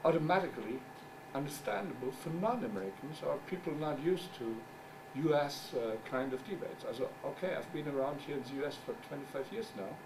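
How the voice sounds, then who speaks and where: Halting non-native man lecturing from afar. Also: mid-range voice, expressive. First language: German. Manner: halting, searches for words, wry asides. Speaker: journal editor giving a talk. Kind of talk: conference presentation. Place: conference room. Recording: distant mic with background noise.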